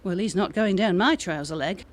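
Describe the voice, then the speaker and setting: A British actress playing a sensible, dry-witted office worker in a comedy audio drama, teasing in a matter-of-fact way. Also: female voice, lowish voice, normal speed, lightly acted. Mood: wry.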